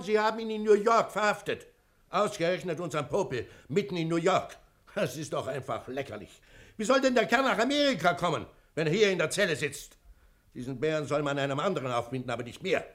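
Blustering male prison director: officious, scoffing, higher-pitched voice, very animated delivery, vintage radio drama. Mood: indignant, blustering. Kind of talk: 1960s German radio drama acting.

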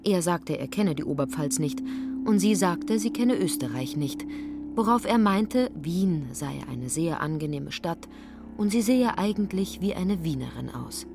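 A woman's voice: fairly low pitch, expressive, a little slow, vocal fry. A woman narrating a literary radio drama in scripted prose. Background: music.

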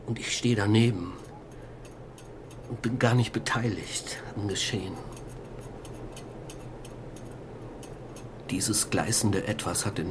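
Brooding, low-voiced middle-aged husband played by an actor. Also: male voice, background noise, close to an everyday voice. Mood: haunted, brooding. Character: brooding East German husband and father, haunted, intimate. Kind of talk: naturalistic radio drama dialogue.

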